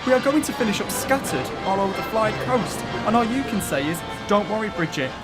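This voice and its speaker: A man's voice: high-pitched, lively intonation, fairly quick, loud music behind the voice. Actor playing a fretful, stammering, panicky man. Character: anxious, panicky man on a fairground ride. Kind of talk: audio drama acting.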